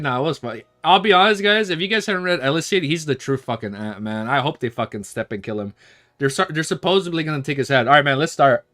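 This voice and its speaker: Young man, twenties, streamer, bright forceful voice, slightly high, dry close mic, rambling, swears. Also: dry recording. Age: 20s. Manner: rambling, swearing, quick to laugh. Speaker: livestream host. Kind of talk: casual livestream chat and reading aloud.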